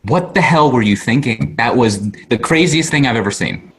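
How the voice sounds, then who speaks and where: A man's voice: medium pitch, expressive, moderate pace, fairly bright tone, husky. A man acting an earnest, kind young boy at a script table read, speaking in a hesitant, conversational way. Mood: alarmed.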